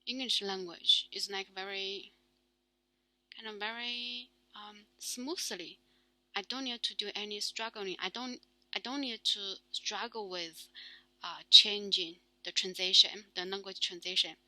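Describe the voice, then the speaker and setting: A young woman with a Chinese accent, speaking as a non-native talking through her reading in a halting, filler-heavy monologue. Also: mid-range voice, steady tone, sharp tone, dry recording, rough recording. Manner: halting, many fillers and restarts. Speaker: solo talk show host. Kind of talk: casual spoken practice monologue.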